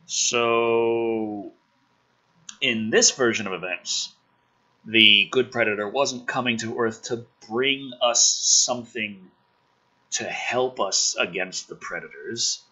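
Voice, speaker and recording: A man's voice: mid-range voice, slow, slightly rough, poor audio. A man hosting a commentary show, reading scripts dramatically and reacting in a sarcastic, sweary, exasperated way. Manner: animated, sarcastic, sweary, groaning asides. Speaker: host of a gaming and film commentary channel.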